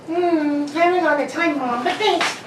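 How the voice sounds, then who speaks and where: An eager, evasive little girl acted by a woman in a radio play, pitched extremely high. The words tumble out with childlike excitement. The sound is distant and roomy. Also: moderate pace, close to an everyday voice. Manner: childlike, stumbling, excitable delivery. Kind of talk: amateur radio drama read-through. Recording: roomy, distant mic, faint noise.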